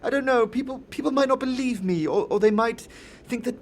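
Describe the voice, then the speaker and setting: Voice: mid-range voice, brisk, a little hiss or hum, pitched above the performer's own voice, close to an everyday voice. A man voicing a frightened young male informant who is hesitant, stammering and defensive. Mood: pleading, defensive.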